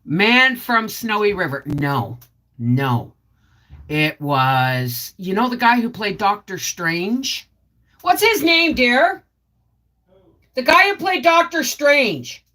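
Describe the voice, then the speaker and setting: A woman's voice: medium pitch, big pitch swings, takes it slowly, bright, forceful tone. A middle-aged woman chatting casually on a live stream, rambling and answering viewer comments as she goes. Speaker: homestead channel host.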